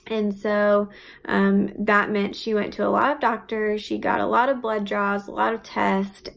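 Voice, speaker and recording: A woman giving a lively, casual self-introduction for a social media video. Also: mid-range voice, gravelly. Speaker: physician assistant and host.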